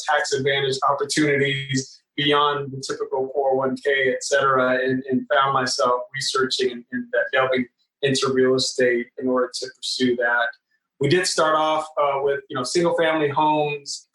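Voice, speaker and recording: A man's voice: medium pitch, fairly bright tone, recorded from a distance. An earnest man in his forties, born in the Philippines, talks as a podcast guest and restarts phrases with repeated words. His voice stays level, with little rise and fall. He sounds set back from the mic in a roomy space. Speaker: podcast guest, real estate investor. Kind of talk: conversational interview.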